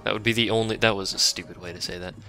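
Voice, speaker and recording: A man with a deep voice giving casual, rambling commentary while he plays a game and chats with his stream viewers, offhand and self-deprecating. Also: fairly even intonation, racing, pushed and bright, soft background music. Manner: chats with a live stream chat. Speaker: let's play streamer.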